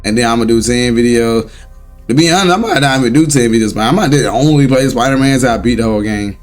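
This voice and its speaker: A young man hosting his reaction channel at home, chatting casually to viewers with a slightly raspy, recovering voice and loose slang. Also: mid-range voice, fast talker, faint music behind. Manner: rambling, laid-back slang, chuckles often. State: hoarse, voice recovering. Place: home room. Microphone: desk setup at a laptop.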